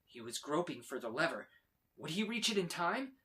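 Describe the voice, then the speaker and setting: A man reading a children's storybook aloud in a dramatic, expressive way with emphatic delivery. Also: fairly high pitch, moderate pace. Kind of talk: animated scripted storybook read-aloud.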